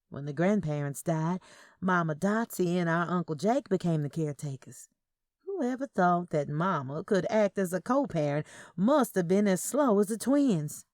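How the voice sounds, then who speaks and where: A woman with a fairly low voice narrates audio fiction in the first person. Her delivery is measured, and the sound is close and dry. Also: dark tone, dry recording.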